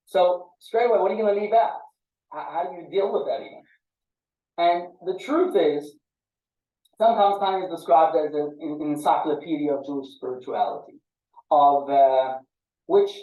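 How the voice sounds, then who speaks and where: A man teaching a small study group informally, often halting and repeating words as he explains. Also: sits high, warm tone, recorded from a distance. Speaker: class teacher. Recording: muffled phone-like audio, echoey, off-mic.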